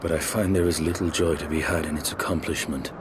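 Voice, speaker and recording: Battle-worn fantasy-game knight: deep register, brooding, formal, held back, over clear background noise. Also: male voice, fairly even intonation, quick pace. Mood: weary, regretful. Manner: grim, restrained, formal. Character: brooding, battle-weary dragon-slaying knight. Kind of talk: dramatic fantasy game acting.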